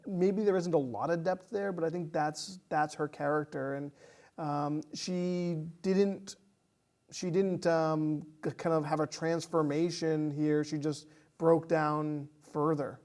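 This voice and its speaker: A man speaking as a guest in a casual film discussion, halting and searching for his words. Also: a little high, fairly even intonation, darkish tone. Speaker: podcast guest.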